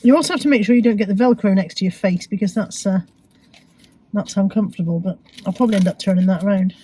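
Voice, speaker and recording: A woman with a cold, sounding croaky and sniffly, chatting casually to viewers about her shopping with self-mocking asides. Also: mid-range voice, quick pace, warm tone. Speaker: vlogger. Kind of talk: chatty shopping haul vlog.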